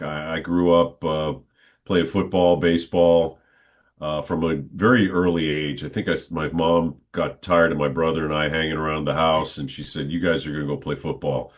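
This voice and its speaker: Very deep-voiced man in his sixties. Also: steady tone, moderate pace. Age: 60s. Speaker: interview guest, stadium announcer. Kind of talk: relaxed reminiscing conversation.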